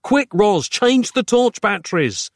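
A man's voice: high voice, very animated, a little fast, dry recording, studio quality, voice pushed up. A man acting an anguished, exasperated male hero in a comic horror tale, wordy and melodramatic. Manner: melodramatic, over-explaining, urgent.

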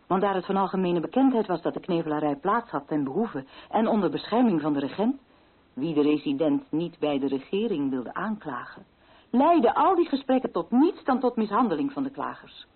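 An actress playing a grieving, fearful widow of a colonial official in a radio play, speaking formally with a husky voice. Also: female voice, on the low side. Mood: bitter, guarded, then distressed, grieving. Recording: thin, phone-like old radio recording.

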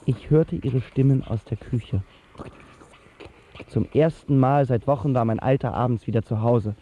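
A young man playing a dazed, heartbroken teenage son of hippie parents, narrating a radio drama in short, halting, reflective sentences. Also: mid-range voice, mellow, slight background noise, close to an everyday voice. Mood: rueful, reflective. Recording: narrow-band radio recording, music behind.

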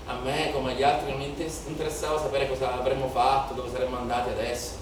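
A man's voice: mid-range voice, little pitch movement, fast talker, close to an everyday voice. A male stage actor playing an impassioned, haunted young protester in a declamatory theatrical monologue, with incantatory repetition. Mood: subdued, confiding. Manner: declamatory, incantatory repetition, swelling from hushed to shouted. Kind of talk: theatrical dramatic monologue in Italian political theatre. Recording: very echoey theatre, distant mic, noisy.

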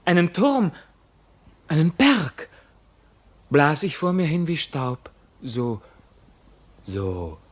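An actor playing a tormented, bewildered young prisoner in a radio play, speaking in a halting, declamatory way. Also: male voice, fairly high pitch, sing-song, full character voice. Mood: brooding, bewildered. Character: tormented imprisoned young man, feverish, bewildered, brooding. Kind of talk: classic German radio play acting.

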